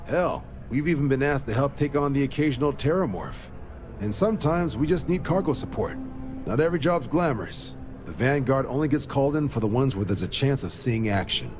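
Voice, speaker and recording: A man's voice: medium pitch, lively intonation, a little fast, music in the background, close to an everyday voice. A male actor playing an assured military recruiter in a space game, with a folksy, persuasive manner. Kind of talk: video game dialogue.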